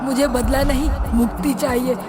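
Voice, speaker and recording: Woman doing a tired witch, highish voice, resigned, cartoon story, loud music behind. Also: fairly even intonation, moderate pace, close to an everyday voice. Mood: weary. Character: weary pregnant female witch longing for release. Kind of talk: Hindi animated moral story voice acting.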